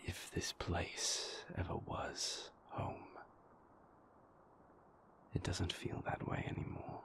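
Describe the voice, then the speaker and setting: A man playing a brooding, remorseful vampire boyfriend in an intimate audio roleplay, with a breathy, gravelly near-whisper and halting, formal, confessional phrasing. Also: fairly bright tone. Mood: weary, confessional. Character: brooding, remorseful male vampire boyfriend, former royal enforcer.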